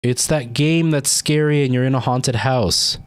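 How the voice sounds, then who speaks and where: A man's voice: medium pitch, measured pace, clear, resonant tone. A man from Canada with a light Canadian accent, chatting casually with viewers on a livestream, rambling off on tangents and answering viewer comments.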